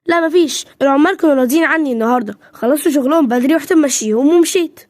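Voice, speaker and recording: An easygoing middle-aged father with an Egyptian accent, relaxed and a bit proud, played by a woman. He talks quickly in a fairly high voice. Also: no room sound, lightly acted. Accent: Egyptian Arabic.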